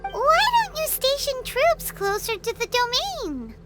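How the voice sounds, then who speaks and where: A woman voicing a tiny, excitable fairy-like companion who talks about herself in the third person, in a game cutscene. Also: very high voice, unhurried, over loud music, full character voice. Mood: chirpy.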